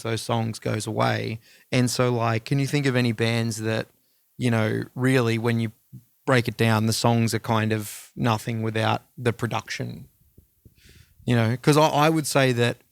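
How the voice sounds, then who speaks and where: A man chats casually as a podcast co-host, with plenty of fry in a mid-pitched voice. He rambles informally toward his opinions. The recording is close and free of echo. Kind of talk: casual music discussion.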